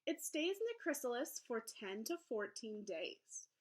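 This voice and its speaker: A woman teacher reading aloud to young children in an encouraging, expressive storybook manner. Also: a little high, a little slow. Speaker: elementary school teacher. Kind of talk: children's read-aloud lesson.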